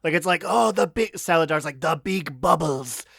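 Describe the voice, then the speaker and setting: Higher-voiced man riffing, close and dry. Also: lively intonation, moderate pace, creaky, a bit bright, breathy edge, dry recording. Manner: joking, riffs in imagined quotes. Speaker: podcast co-host. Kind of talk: casual comic retelling.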